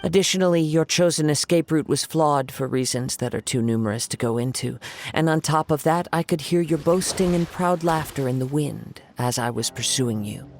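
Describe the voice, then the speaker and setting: A man voicing a calm, quietly confident young wandering swordsman in a video game, speaking in a poised, measured, formal way. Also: fairly high pitch, a little hiss or hum, natural voice. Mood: cool reproach.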